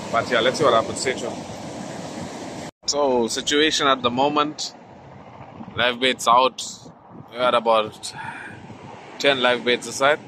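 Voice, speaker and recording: A man's voice: mid-range voice, low-quality recording. A middle-aged man with a South African accent, chatting casually and rambling as he films his fishing trip. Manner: relaxed, rambling, filler-heavy. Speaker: fishing vlogger. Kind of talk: casual vlog narration. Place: open beach by the surf. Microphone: handheld camera mic. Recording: windy beach with loud surf.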